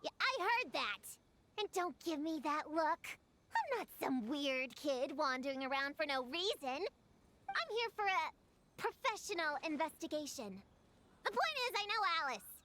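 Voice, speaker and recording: A woman's voice: high-pitched, sing-song, moderate pace, sharp tone, a little hiss or hum, full character voice. A woman voicing an eager, stubborn young girl investigator in a video game, earnest and a bit self-important. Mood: insistent.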